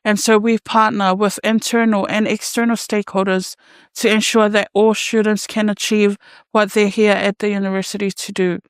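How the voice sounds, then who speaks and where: A woman working as a university's Pacific student success advisor, giving a measured, formal scripted welcome. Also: mid-range voice, little pitch movement, clean tone, no echo, clean studio sound.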